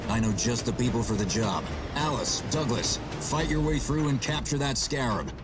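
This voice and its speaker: A man playing a seasoned, resolute military starship captain in a video game, speaking in a measured, authoritative and decisive way. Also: mid-range voice, lively intonation, lightly acted. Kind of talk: video game cutscene acting. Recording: loud music under the voice. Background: loud music.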